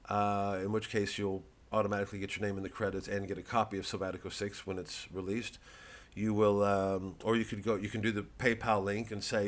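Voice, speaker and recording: A man's voice: lowish voice, a bit bright. A middle-aged male comedian with a hesitant, sardonic, profane way of talking, rambling through a promotional monologue. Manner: hesitant, sardonic and profane, full of filler and restarts.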